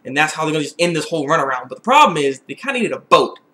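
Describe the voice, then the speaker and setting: A young man giving a casual, excitable episode recap, repeating phrases for emphasis. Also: a little high, rapid-fire. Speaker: TV episode reviewer. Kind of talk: casual video recap and review.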